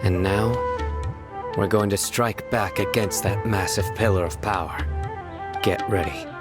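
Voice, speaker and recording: Stern general for a game, male actor: lowish, clipped orders, deadpan authority. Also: measured pace, natural voice. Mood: grave. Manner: terse, commanding, deadpan. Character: stern, aloof young male general and enforcer. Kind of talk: video game cutscene acting. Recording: music behind the voice.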